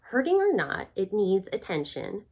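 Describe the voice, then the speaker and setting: A woman voicing a brisk, bossy, practical witch from a storybook, firm and no-nonsense. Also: mid-range voice, huge pitch swings, creaky, darkish tone, heavily acted.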